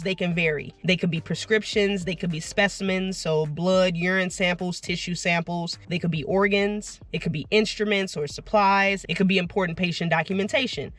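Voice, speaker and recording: A woman's voice: fairly low pitch, a bit bright. A woman vlogging casually to her viewers, a bit congested and nasal from getting over an illness, chatty with frequent "you know" and "right?" asides. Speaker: vlogger and delivery driver. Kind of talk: casual vlog talk to camera.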